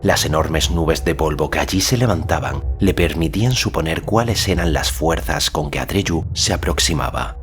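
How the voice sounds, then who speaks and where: A man narrating a fantasy story as a scripted audiobook, measured and solemn in delivery. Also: rumbling low, soft background music.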